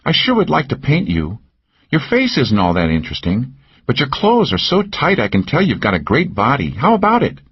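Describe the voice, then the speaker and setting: A man's voice: medium pitch, brisk, breathy edge, dull audio, pitched above the performer's own voice, heavily acted. A man putting on a brash flirty woman. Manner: brash, teasing, lively. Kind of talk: audiobook character voice.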